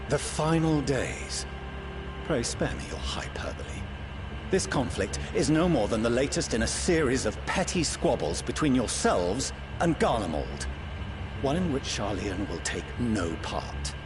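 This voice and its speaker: A man's voice: medium pitch, big pitch swings. An actor playing a stern, proud middle-aged statesman and father in a fantasy game, speaking formally and loftily. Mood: dismissive, stern. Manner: formal, measured, lofty diction. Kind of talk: dramatic fantasy game acting. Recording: orchestral music behind the voice.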